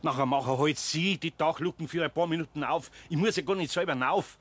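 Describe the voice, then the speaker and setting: Grumbling older Bavarian craftsman, highish voice. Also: fairly bright tone. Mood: indignant. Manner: flustered, halting, grumbling. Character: older male craftsman, grumbling, worried, good-hearted. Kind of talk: German children's radio play acting.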